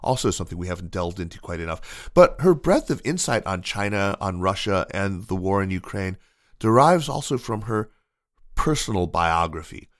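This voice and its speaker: A man hosting a podcast interview, introducing his guest in a measured, articulate way with the odd filler and restart. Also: sits low, very animated, no room sound, clean studio sound. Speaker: podcast host. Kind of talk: scripted intro then interview.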